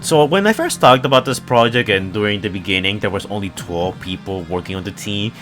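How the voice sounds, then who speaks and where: A man's voice: fairly low pitch, lively intonation, a little fast, fairly bright tone. A man hosting a YouTube video, giving enthusiastic, informal fan commentary on movie news. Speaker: YouTube host. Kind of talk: casual movie news commentary, partly scripted. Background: background music.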